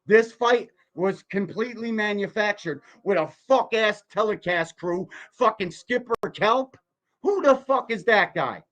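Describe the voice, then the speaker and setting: A man's voice: sits high, measured pace, fairly bright tone, slight background noise. A man in his forties hosting a reaction livestream, giving blunt, profane, emphatic commentary full of rhetorical questions. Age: 40s. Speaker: podcast host reacting to a video.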